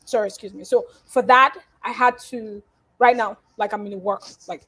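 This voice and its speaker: A woman from Nigeria, a guest in a casual interview, talking with lively swings in loudness and many fillers over a video call. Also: mid-range voice, normal speed, breathy edge. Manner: animated, with big swings in loudness and frequent fillers.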